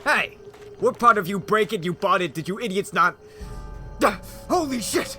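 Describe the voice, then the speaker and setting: A man playing a short-tempered mob lieutenant in an audio drama, gruff, scornful and bossy. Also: sits high, expressive, normal speed, bright, forceful tone, faint music behind, exaggerated voice. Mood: alarmed, angry.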